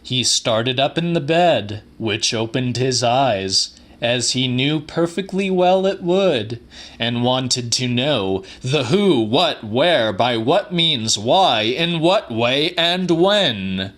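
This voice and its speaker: A man's voice: medium pitch, expressive, slow, fairly bright tone. A man narrating a literary audiobook in a dramatic scripted reading style.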